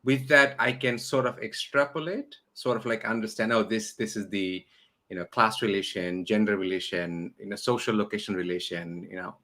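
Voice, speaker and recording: A man's voice: on the low side, steady tone, moderate pace, sharp tone. A man from Bangladesh with a Bangladeshi accent, hosting a conversational interview, repeating words and building his questions piece by piece. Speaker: interview host.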